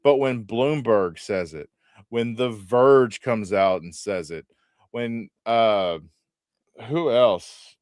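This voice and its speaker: A man's voice: mid-range voice, slow pace, a little mellow, dry recording, studio quality. A man on a gaming podcast panel, rambling and opinionated, often trailing off mid-sentence. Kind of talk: casual panel chat.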